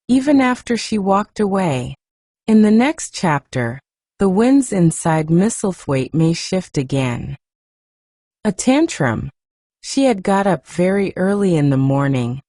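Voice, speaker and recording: Soft woman storyteller, slow bedtime reading, warm mellow tone, dry close mic. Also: medium pitch, no echo. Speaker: audiobook reader.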